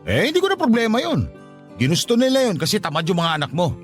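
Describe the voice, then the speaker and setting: A man's voice: high voice, huge pitch swings, fast. A man playing a callous, scornful middle-aged husband in a radio drama, dismissive and impatient, with a Filipino accent. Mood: impatient, blaming. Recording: faint music bed behind voice.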